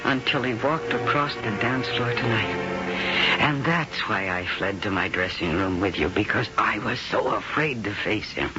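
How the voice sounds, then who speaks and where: A woman's voice: extremely low pitch, moderate pace. An emotional female star in radio drama. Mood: regretful. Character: famous female singing star, weary, emotional, warm. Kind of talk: 1970s radio drama acting. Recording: dull 1970s radio recording, music behind.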